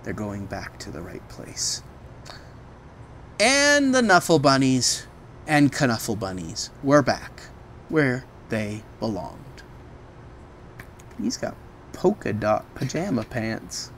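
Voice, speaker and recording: An unhurried read-aloud storyteller with a widely swooping delivery. The reading is expressive and breaks into casual, simple explanations pitched at a small child. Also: a little hiss or hum. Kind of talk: expressive picture-book reading with casual asides.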